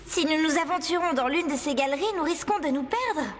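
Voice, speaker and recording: A woman's voice: sits high, brisk, soft background music, lightly acted. A woman voicing a polite, good-natured young girl who rides horses, in a French cartoon. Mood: calm, earnest. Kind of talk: French animated series dialogue.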